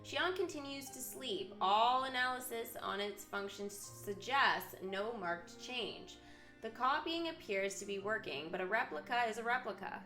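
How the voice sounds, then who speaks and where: A woman game streamer with a very bright, forward voice, recorded in a roomy, echoey space with music behind her. She mixes reading story text aloud with live commentary. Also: a little high, expressive, moderate pace. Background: video game music.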